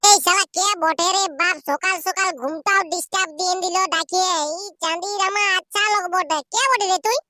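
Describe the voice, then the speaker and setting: A man's voice: very high voice, fairly even intonation, fairly quick, few pauses, very noisy. A man voicing a grumpy village man in a comic cartoon, grumbling and blustering with a Purulia accent.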